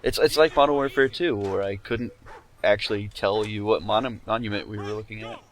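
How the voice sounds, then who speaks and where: A man's voice: on the low side, brisk. A man co-hosting a let's-play session, making dry, sarcastic jokes about the game. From United States. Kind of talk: casual gaming banter.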